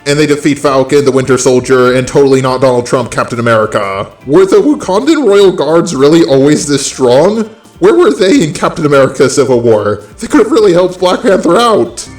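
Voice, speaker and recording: Male commentary host, slightly high voice, sarcastic and exasperated, echoey sound over music. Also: sing-song, fairly quick. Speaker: host of a video commentary channel. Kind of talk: opinionated film and TV commentary. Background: background music.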